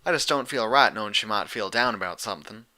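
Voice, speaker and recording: A man voicing a cautious, plain-spoken country mare in a folksy, low-key manner, in a storybook reading. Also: fast, sharp tone. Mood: uneasy.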